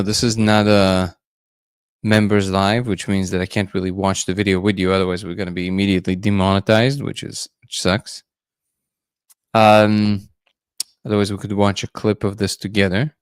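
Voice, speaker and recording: A man's voice: fairly low pitch, smooth, no room sound, studio quality. A man hosting a casual livestream, musing loosely and greeting viewers by name. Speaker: livestream host.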